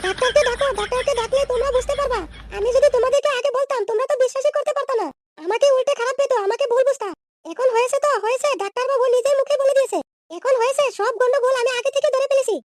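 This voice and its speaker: A man's voice: extremely high pitch, racing, slight background noise. A man voicing a brash, scolding, scheming young woman in a comedy cartoon. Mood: heated. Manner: scolding, threatening, slangy insults.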